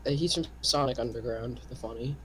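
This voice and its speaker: A man's voice: mid-range voice, a little fast, breathy edge. A man chatting casually as a co-commentator, breaking in with crude, jokey reactions to a reading. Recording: noisy, clicking keyboard, home recording.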